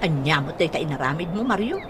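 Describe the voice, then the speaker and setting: An actress playing a fault-finding, complaining village wife in an Ilocano radio comedy drama, with an Ilocano accent and a grumbling manner. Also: female voice, sits low, expressive, quick pace. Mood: scolding. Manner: scolding, grumbling. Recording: noisy radio drama with ambience.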